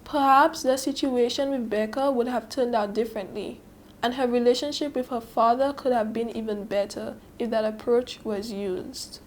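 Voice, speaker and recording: A teenage girl from Saint Lucia with a Saint Lucian accent reads aloud in a fairly high voice. Her delivery is scripted, careful and emphatic. There is slight noise in the recording. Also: fairly even intonation, moderate pace. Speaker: student reader. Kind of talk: scripted reading aloud and commentary.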